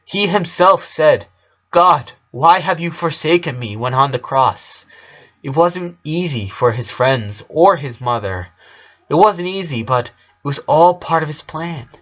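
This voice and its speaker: A man voicing an earnest young man who speaks haltingly and consoles a boy in a story reading. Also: fairly high pitch, expressive, fairly bright tone, muffled recording, voice pushed up. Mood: earnest, preaching.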